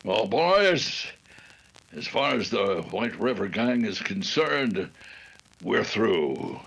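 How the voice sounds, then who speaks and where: A man playing a scheming, vengeful gang leader in an old-time radio adventure, with halting, deliberate speech. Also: lowish voice, sing-song, gravelly, a bit bright, breathy edge, exaggerated voice. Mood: evasive, commanding.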